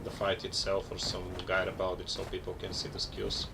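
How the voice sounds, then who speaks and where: A man from Bulgaria with a Bulgarian accent, chatting casually to his viewers while he plays a game on a live stream and rambles between pauses. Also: deep voice, monotone, creaky, background noise. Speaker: gaming streamer. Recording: loud game music behind.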